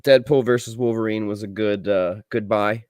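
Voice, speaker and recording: Man, podcast co-host, fairly low voice, dry sound, casual opinionated chat, blunt, emphatic, sweary. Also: fairly even intonation, normal speed, a little mellow, dry recording.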